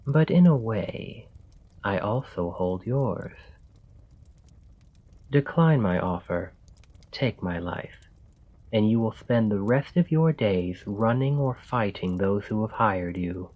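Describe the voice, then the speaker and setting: Man acting a low, slow-spoken calculating royal. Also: animated, phone-quality sound. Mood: bargaining, earnest. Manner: measured, formal, deliberate speech. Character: calm, calculating male royal bargaining with his assassin. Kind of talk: ASMR roleplay, scripted dramatic monologue.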